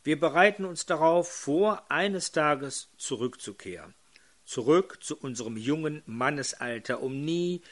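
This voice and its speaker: Hoarse older preacher, slow and drawn out, kinda high voice, asks the crowd stuff. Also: male voice, fairly bright tone. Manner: addresses congregation with questions. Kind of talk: sermon.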